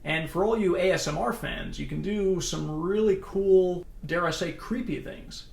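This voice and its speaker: A man's voice: sits high, unhurried, gravelly. A man in his thirties casually presenting a product review at home into binaural earbud microphones. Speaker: tech review host. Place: indoors in a plain room at home.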